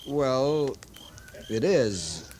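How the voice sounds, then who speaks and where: An actor playing an evasive, hesitant American cavalry subordinate answering respectfully in a 1950s Western radio drama. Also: male voice, medium pitch, big pitch swings, drawn-out words, a little mellow. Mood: uneasy, apologetic. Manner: hesitant, deferential. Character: deferential, evasive cavalry subordinate reporting to his captain. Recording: faintly noisy 1950s radio recording.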